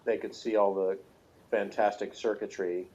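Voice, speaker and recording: A man's voice: on the low side, little pitch movement, moderate pace, warm tone, slight background noise. Dull-sounding lo-fi recording of a teasing man. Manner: teasing, jokey. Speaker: review show co-host. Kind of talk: casual joking chat.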